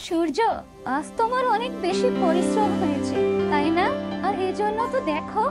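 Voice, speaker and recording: A woman's voice: extremely high pitch, lively intonation, moderate pace, loud music behind the voice. A woman voicing an earnest, easily ashamed young village woman in a cartoon, with an emotional, self-reproaching manner. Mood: sweet, caring. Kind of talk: dramatic cartoon dubbing.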